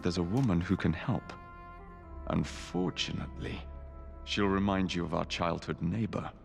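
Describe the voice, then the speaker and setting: Gravelly, cryptic secret messenger. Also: male voice, deep voice, normal speed, faint music behind. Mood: grave urgency. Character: cryptic, urgent man leaving a secret message. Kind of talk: dramatic game voice-over.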